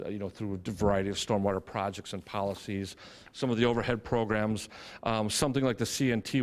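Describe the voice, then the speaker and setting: Low-voiced man explaining a budget. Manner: steady, filler-heavy explaining. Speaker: public works official. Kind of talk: presenting a budget. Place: council chamber.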